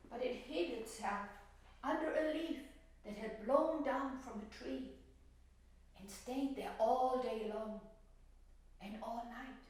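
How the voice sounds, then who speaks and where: Slow-talking woman storyteller in an echoey room. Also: on the high side, a little mellow. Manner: unhurried, simple phrasing addressed to young children. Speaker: teacher telling a story and leading a painting lesson. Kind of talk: gentle storytelling and craft instruction for children. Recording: very echoey room, distant microphone.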